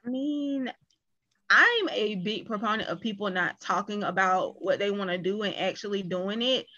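A woman's voice: medium pitch, normal speed, sharp tone, no room sound, lo-fi sound. A woman talking as a guest in a casual chat, with an emphatic, opinionated way of speaking. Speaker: guest on a talk show.

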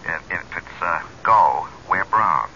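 Self-serving husband for radio play; lowish, lively, brisk and casual. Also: male voice, creaky, highs cut off, exaggerated voice. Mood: breezy, bossy. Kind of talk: old-time radio drama acting. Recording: band-limited old radio drama, faint noise.

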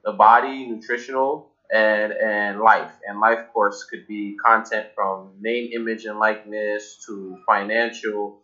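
A man's voice: on the low side, sharp tone, muffled recording. A young man from Cameroon, a guest in a casual interview, talking loosely with many fillers and restarts. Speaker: guest, app founder.

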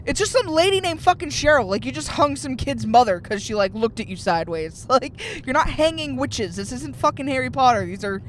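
A man's voice: a little fast, few pauses. Male comic, casual profane ranter, car-recorded podcast with light road hum. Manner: profane, ranting, animated riffs. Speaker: comedian guest. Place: inside a moving car. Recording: faint road noise in a car. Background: car rumble.

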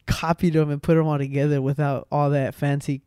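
Rambling young man with a mellow voice. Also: a little high, little pitch movement, fairly quick, smooth, no echo, studio quality. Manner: rambling, stumbling restarts, lots of slang. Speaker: podcast host. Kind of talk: casual chat. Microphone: boom arm mic.

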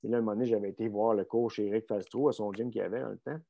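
A man's voice: on the low side, steady tone, rapid-fire, vocal fry, dull audio. A middle-aged man with a Québécois accent, talking casually and bluntly, rambling with many fillers and restarts as he recounts his coaching career. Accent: Québécois French. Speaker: strength coach guest. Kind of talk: casual conversational storytelling.

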